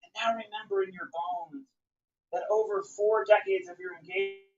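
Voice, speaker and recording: A man's voice: high-pitched, fairly even intonation, moderate pace, soft background music. A man giving a live spoken-word poetry reading, with an emphatic, rhythmic, declamatory delivery. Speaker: poet. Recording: dull, lo-fi live recording.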